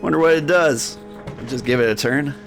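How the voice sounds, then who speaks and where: A man's voice: mid-range voice, moderate pace, creaky, fairly bright tone, faint music behind, pitched above the performer's own voice. A man voicing a weary, brooding Victorian minotaur who narrates a story game in wry, old-fashioned slang. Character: world-weary male Victorian minotaur, wry, struggling with vices. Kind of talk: first-person game narration in character.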